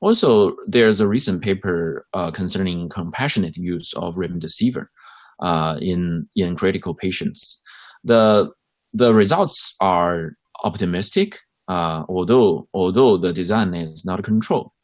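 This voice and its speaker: A man's voice: deep voice, animated, unhurried, no room sound. A man with a Chinese accent, a hospital doctor, gives his views in a panel discussion with many fillers and restarts. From China.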